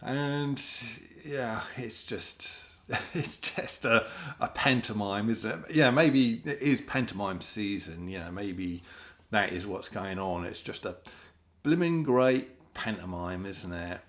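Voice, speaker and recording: Low, creaky British man, slow and sardonic. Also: expressive. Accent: British English. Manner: rambling, sardonic, often mimics other people in put-on voices. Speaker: host of an opinion monologue. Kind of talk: casual spoken commentary.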